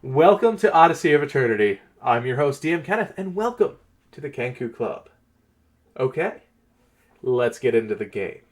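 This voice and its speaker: A man hosting and narrating fantasy stories as a game master, theatrical, with weighted pauses. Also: mid-range voice, big pitch swings. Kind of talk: dramatic reading and channel presenting.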